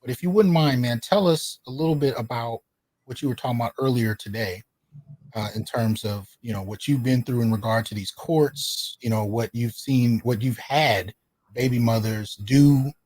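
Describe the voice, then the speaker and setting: A man's voice: medium pitch, normal speed, darkish tone, no room sound, low-quality recording. A man hosting an interview, speaking emphatically and often checking in with his listeners. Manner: emphatic, often asks "right?". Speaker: host.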